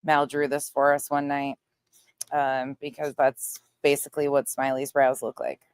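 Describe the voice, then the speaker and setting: A woman's voice: extremely low pitch, completely flat delivery, normal speed, clean tone, dry recording, studio quality. A woman giving casual, sarcastic livestream commentary, blunt and mocking, with some swearing. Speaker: livestream host reacting to another creator's stream.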